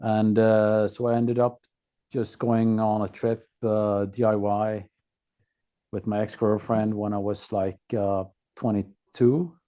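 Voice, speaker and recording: A man from Norway with a Norwegian accent, a podcast guest telling his own story casually in a halting, laconic way. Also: fairly low pitch, flat delivery, dark tone.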